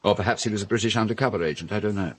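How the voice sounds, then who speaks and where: An actor playing a grave man recounting a grim wartime story in a radio drama, with measured, deliberate phrasing and dramatic pauses. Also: on the low side, no echo, lightly acted.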